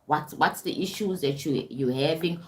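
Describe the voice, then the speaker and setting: Deep-voiced woman hosting a livestream. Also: moderate pace. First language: English. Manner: warm-toned chatty, calls viewers "sweetie pie", frequent "right?" and restarts. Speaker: livestream host. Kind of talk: casual livestream talk on self-development.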